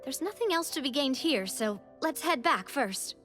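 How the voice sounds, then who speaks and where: An earnest, bright-voiced young sleuth. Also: female voice, high-pitched, animated, moderate pace, faint music behind. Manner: earnest and reasoning aloud. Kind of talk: video game dialogue.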